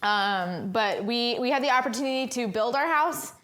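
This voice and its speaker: A woman's voice: on the high side, lively intonation, moderate pace, fairly bright tone. A woman hosting a casual cooking livestream from her home kitchen, chatting along with lots of ums and uhs. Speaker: cooking stream host.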